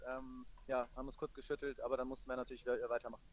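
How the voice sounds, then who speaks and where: A man gives a post-match interview as a football coach. He talks quickly and keeps his pitch very even. His manner is measured and matter-of-fact, at a middle pitch. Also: no echo.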